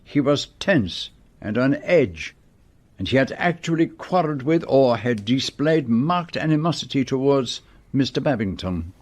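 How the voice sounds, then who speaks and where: A man acting in a radio mystery drama as a shrewd, methodical detective who speaks precisely and deliberately, with theatrical pauses. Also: medium pitch, lively intonation, darkish tone, lightly acted. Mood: probing. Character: shrewd, methodical detective explaining his solution.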